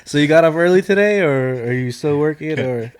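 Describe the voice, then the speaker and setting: A man's voice: on the high side, expressive, fairly quick, clear, resonant tone. Laid-back man urging a friend on. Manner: encouraging, laid-back, repeats himself. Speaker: podcast co-host. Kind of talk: casual chat.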